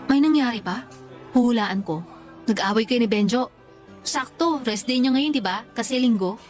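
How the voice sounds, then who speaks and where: Actress as a high-pitched, teasing best friend. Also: female voice, normal speed, a little mellow, natural voice. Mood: concerned, curious. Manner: teasing, slangy. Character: teasing, frank female best friend and confidante. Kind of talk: Tagalog radio drama dialogue. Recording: music behind the voice.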